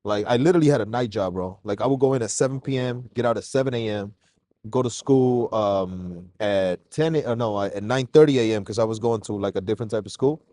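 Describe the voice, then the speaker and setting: A man from Mexico, a guest on a casual podcast interview, telling his story in a relaxed, slangy way. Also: fairly low pitch, brisk, dry recording. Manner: relaxed, slangy, calls host "bro".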